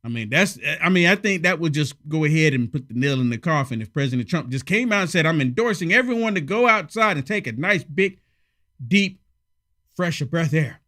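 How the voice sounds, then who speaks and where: Hoarse sarcastic male commentator. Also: on the high side, animated, fairly quick, bright, forceful tone. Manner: sarcastic, emphatic, repetitive. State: hoarse from a head cold. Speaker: political commentary host. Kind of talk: casual opinion commentary.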